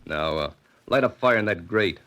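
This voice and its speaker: An actor playing a cool, menacing gunman in a 1940s radio crime drama, curt, unhurried and mocking. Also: low-pitched, lively intonation, a bit bright, muffled recording. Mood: cool, commanding. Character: cool, menacing male gunman giving orders.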